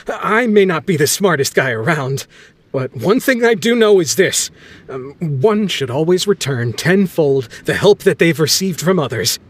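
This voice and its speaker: An actor playing an earnest, humble young man in a video game cutscene, speaking sincerely and a little haltingly. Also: a little high. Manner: sincere, slightly halting with fillers. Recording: faint game music behind.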